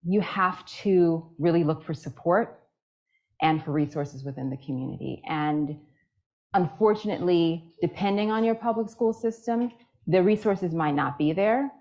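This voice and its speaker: A woman with a low, deep voice delivers a keynote in a lecture hall. She speaks earnestly and leans on repetition for emphasis. The recording sounds slightly dull and muffled. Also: moderate pace, darkish tone. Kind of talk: keynote speech with Q&A.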